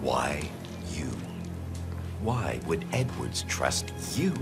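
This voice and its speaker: Smug 60s crime boss, gravelly, very low, sardonic, world-weary, video game villain, loud music behind. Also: male voice, sing-song, drawn-out words, exaggerated voice. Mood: smug, probing. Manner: sardonic, theatrical, crude. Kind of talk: cinematic video game acting.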